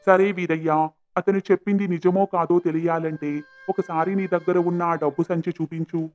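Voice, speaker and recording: A man's voice: high voice, little pitch movement, moderate pace, lo-fi sound, faint music behind, natural voice. Man playing a firm, authoritative village headman. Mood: questioning. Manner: firm, questioning, commanding. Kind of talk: cartoon moral-story acting.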